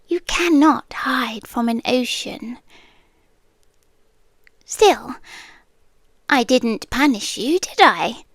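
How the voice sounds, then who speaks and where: A British woman playing a possessive, sweetly menacing sea-spirit girlfriend in an intimate ASMR roleplay, coaxing and often slipping into breathy whispers. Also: high voice, no room sound, heavily acted. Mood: tender, menacing. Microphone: close microphone, dry.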